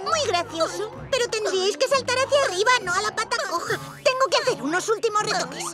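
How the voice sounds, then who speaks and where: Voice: very high-pitched, animated, fast talker. A performer voicing a boastful, bossy boy villain in a cartoon dub, taunting and theatrical, with a Castilian Spanish accent. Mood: gloating, bossy. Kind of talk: animated children's cartoon dub. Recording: music behind the voice.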